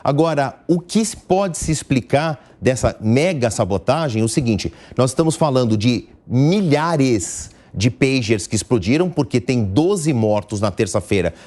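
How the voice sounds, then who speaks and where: A man's voice: mid-range voice, animated, unhurried, hardly any pauses, darkish tone. A man with a Brazilian accent explaining the news live on television in a didactic, step-by-step way, stressing key words. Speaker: news commentator.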